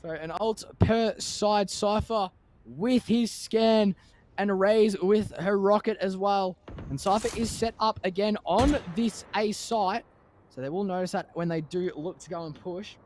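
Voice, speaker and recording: A man giving analytical esports color commentary, breaking into shouts at the big plays. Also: sits high, unhurried, slight background noise. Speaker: esports co-caster.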